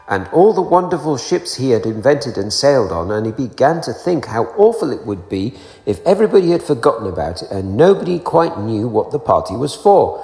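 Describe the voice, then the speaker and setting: A man reading a children's storybook aloud in an expressive narrating style. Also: medium pitch, vocal fry. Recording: roomy echo, long reverb, faint music.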